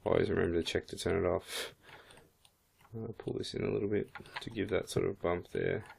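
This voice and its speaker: A man with a croaky voice, casually thinking aloud as he walks through a 3D modeling software tutorial. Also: low voice, steady tone, a little fast, slight background noise. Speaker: 3D modeling instructor. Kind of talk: screen-recorded software tutorial.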